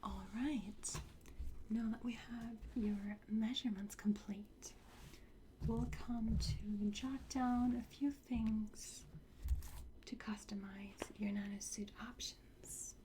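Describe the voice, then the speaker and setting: A woman whispering softly and breathily in an ASMR roleplay, playing a courteous, instructive fitting attendant. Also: a little high, steady tone, slow pace, a little hiss or hum. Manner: soft, polite, instructive. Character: courteous attendant at a time travel suit fitting.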